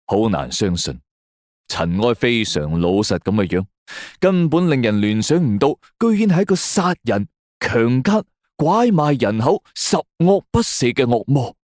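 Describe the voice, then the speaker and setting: A man's voice: mid-range voice, sing-song, measured pace, darkish tone, dry recording, studio quality. A man narrates a suspense story in the first person, dramatically.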